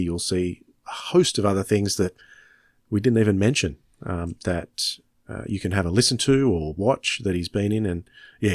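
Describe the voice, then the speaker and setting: A man co-hosting a podcast, relaxed, steering the chat between segments. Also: sits low, no room sound, studio quality. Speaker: podcast co-host.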